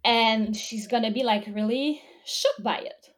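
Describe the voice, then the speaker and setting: A woman's voice: on the high side, measured pace. A woman co-hosting a casual chat, recapping a show, talking with many fillers and restarts and laughing often. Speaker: podcast co-host.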